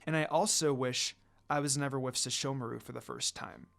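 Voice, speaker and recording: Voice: medium pitch, fairly even intonation, brisk, creaky, fairly bright tone, voice pushed down. A reader voicing a sad, defiant young woman from a fan story in an emotional, dramatic way. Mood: sad, regretful.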